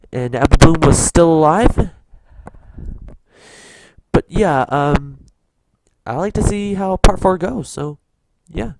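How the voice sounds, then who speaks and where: Woman reacting casually, kinda low voice, halting delivery, noisy home mic w clicks. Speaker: reaction video host.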